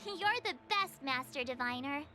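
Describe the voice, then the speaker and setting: A woman playing a bubbly, naive teenage adventurer girl in a video game, informal and expressive, hesitant when she gets flustered. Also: sits high, moderate pace. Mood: perky, uneasy. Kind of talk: scripted video game voice acting. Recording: game music behind the voice.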